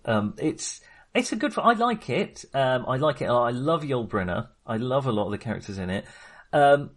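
A man's voice: mid-range voice, very animated, normal speed. A man discussing films casually as a podcast host, in a chatty, hesitant, rambling way.

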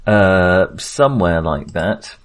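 A man hosting a podcast in a casual discussion style, halting and often searching for words. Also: low-pitched, animated. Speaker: podcast host.

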